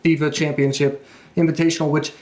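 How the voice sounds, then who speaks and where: A man's voice: fairly high pitch, flat delivery, brisk, darkish tone. A man hosting a casual wrestling talk show, speaking as an enthusiastic fan. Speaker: podcast host. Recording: echoey room.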